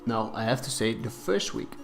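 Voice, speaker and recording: A young man with a cold, talking quietly and hesitantly as he commentates on a game, with many fillers. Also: on the low side, expressive, creaky, soft background music. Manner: deliberately quiet, subdued delivery. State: has a cold. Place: room in a shared flat.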